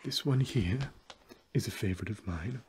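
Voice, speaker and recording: A man playing a fussy, old-fashioned, melancholy gentleman vampire in a comic ASMR roleplay, speaking softly, courtly and hesitantly. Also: on the low side, moderate pace, background noise, close to an everyday voice. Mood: fond, hesitant. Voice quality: breathy, husky soft voice.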